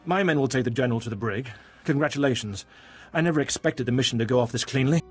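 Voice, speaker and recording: A man playing a pleased, grateful military officer in a science fiction game, with a husky voice. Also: mid-range voice, quick pace, faint music behind, close to an everyday voice. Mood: satisfied.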